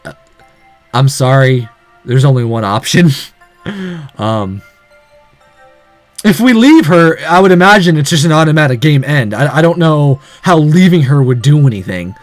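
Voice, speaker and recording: A man's voice: a little high, lively intonation, normal speed, pauses often, soft background music. A man reading a visual novel aloud dramatically, breaking in with sarcastic, exclamatory commentary.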